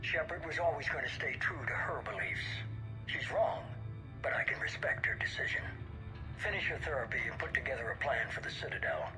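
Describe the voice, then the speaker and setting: An actor playing a cold, measured, commanding leader of a shadowy organisation in a video game. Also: male voice, mid-range voice, animated, natural voice, rough recording. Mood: measured, calculating. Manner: measured, authoritative, dismissive. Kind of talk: video game cutscene acting. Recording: music behind the voice.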